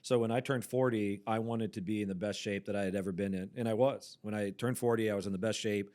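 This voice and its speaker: A man in his forties hosting a church podcast, chatting casually with quick asides and easy laughs. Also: lowish voice, steady tone, darkish tone. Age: 40s. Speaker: podcast host, pastor. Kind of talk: casual chat.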